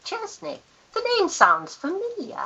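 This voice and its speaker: A woman performs a grand older Victorian lady, an affectionate guardian with a teasing wit. Her voice is bright and swoops expressively in theatrical style, on a slightly dull recording. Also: extremely high pitch, wildly swooping pitch, unhurried, vocal fry, heavily acted. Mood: wry, fond. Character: worldly, affectionate older aunt and wealthy guardian, teasing, wry. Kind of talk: Victorian period radio acting.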